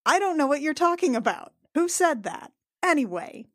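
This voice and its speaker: A woman playing an awkward, guarded but protective warrior in a fantasy game, speaking in a halting, wry, casual way. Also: sits high, sing-song, dry recording, clean studio sound, pitched above the performer's own voice. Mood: flustered.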